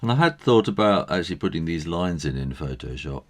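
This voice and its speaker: A man with a tired, croaky voice, thinking aloud with soft chuckles as he teaches drawing and painting. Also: low-pitched, big pitch swings, brisk. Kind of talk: casual drawing tutorial narration.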